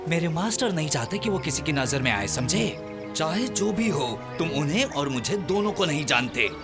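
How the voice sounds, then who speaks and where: A shadowy master's commanding henchman in a cartoon. His slightly high voice moves widely in pitch as he gives terse, menacing orders over loud music. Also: big pitch swings, normal speed. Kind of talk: animated cartoon dub.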